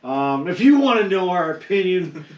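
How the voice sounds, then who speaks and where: Casual male co-host, voice a little higher than average, recorded off-mic in an echoey room. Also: sing-song, moderate pace, recorded from a distance. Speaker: podcast co-host. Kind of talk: casual group chat.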